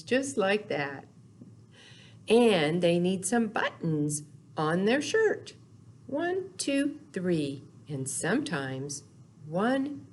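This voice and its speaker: A woman's voice: lowish voice, a little hiss or hum. A woman leading a storytime for young children, reading aloud and talking in simple, sing-song phrases. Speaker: children's library storytime host. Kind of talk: children's storytime with read-aloud, songs and questions.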